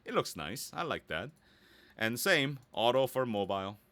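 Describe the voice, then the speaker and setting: A man giving a casual, easygoing software tutorial, thinking aloud and muttering small asides as he works. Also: mid-range voice, very animated, moderate pace, no echo. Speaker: voice actor giving a website-building tutorial.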